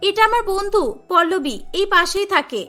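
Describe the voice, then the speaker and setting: A woman voicing a humble, gentle young sister in a cartoon story, sweet and earnest, with music behind. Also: extremely high pitch, animated, normal speed, exaggerated voice. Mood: affectionate.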